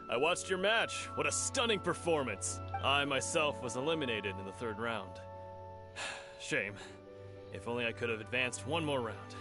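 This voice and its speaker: A man's voice: mid-range voice, lively intonation, a little slow, long pauses, music in the background, close to an everyday voice. A man playing a big, genial tournament fighter in a video game, talking in a chatty, florid and formal manner. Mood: admiring, rueful. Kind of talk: video game character dialogue.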